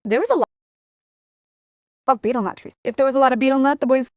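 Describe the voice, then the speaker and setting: A woman's voice: high voice, quick pace, no room sound, studio quality. A woman, an interview guest telling personal stories and acting out quoted family dialogue as she goes. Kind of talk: personal storytelling.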